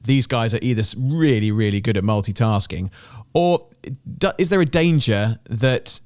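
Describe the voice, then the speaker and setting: A man's voice: mid-range voice, normal speed, darkish tone, no room sound. A man hosting a podcast, putting questions in a casual interview style. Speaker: podcast host.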